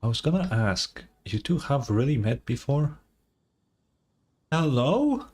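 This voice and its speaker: A man's voice: medium pitch, very animated, takes it slowly, a little mellow. A man doing casual gaming livestream commentary in a sarcastic, mock-exasperated way, swearing freely. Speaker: streamer.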